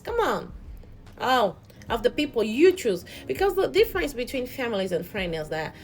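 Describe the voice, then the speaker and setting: Low-voiced woman giving earnest spiritual advice. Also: poor audio. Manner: earnest, reflective, repeats and restarts words. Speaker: host. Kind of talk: spiritual motivational talk.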